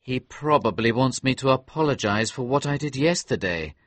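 A man voicing an earnest, easily flustered young gentleman who exclaims and pleads, in a storybook reading. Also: medium pitch, lively intonation, normal speed, dry recording.

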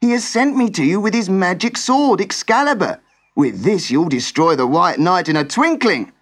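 A man doing a frantic high-voiced servant. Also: sing-song, normal speed, full character voice. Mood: urgent. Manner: urgent, pressing. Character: urgent young male servant, anxious. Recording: soft music underneath.

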